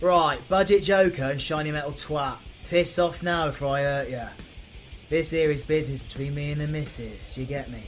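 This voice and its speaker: A man's voice: on the high side, normal speed, faint music behind, close to an everyday voice. A man with a British accent playing a cocky, foul-mouthed supervillain in an audio roleplay, full of flippant, mocking banter. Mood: menacing swagger.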